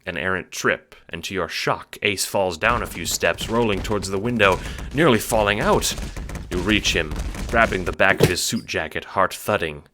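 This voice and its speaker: A man's voice: deep voice, normal speed, music in the background. A man narrating an audio drama in dramatic, scripted second-person storytelling.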